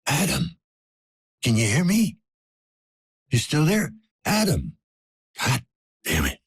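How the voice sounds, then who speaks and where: An actor playing a weary, gruff older police detective in a cyberpunk video game, with a gravelly, breathy rasp and a terse, dry manner. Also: male voice, medium pitch, wildly swooping pitch, takes it slowly, heavily acted. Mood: urgent. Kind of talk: scripted game character dialogue.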